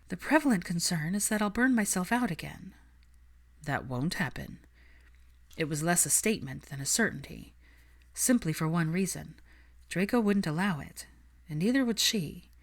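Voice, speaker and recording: A woman reading fiction aloud as an audiobook narrator, in scripted storytelling style. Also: lowish voice.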